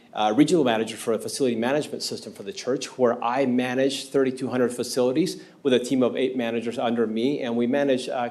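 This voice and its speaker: Council candidate, 50s, Argentine-accented man at a podium mic, earnest, pauses often. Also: mid-range voice, a little mellow. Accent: Argentine Spanish. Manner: earnest, halting with frequent uh fillers. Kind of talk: answering an interview question at a public meeting. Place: city council chamber. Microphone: podium microphone.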